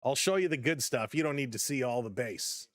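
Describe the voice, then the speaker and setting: A man hosting a casual live-stream baseball play-by-play, chatty and upbeat as he greets viewers, his voice slightly hoarse from overuse. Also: mid-range voice, lively intonation, fairly quick, dry recording, clean studio sound. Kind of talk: casual play-by-play with chat reactions.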